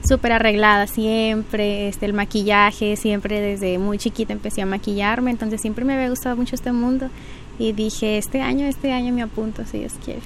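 A young woman answers questions politely, pausing often with small filler words. Her voice is fairly high, with faint noise behind it. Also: little pitch movement. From Mexico. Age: 20s. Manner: polite, a bit hesitant, frequent filler words. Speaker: pageant candidate. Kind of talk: interview answers.

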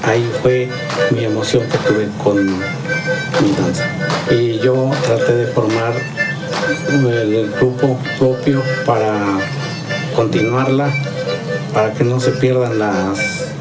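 A man speaking slowly and earnestly about keeping up a traditional dance. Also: medium pitch, steady tone, poor audio. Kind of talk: interview testimony. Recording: loud guitar music behind.

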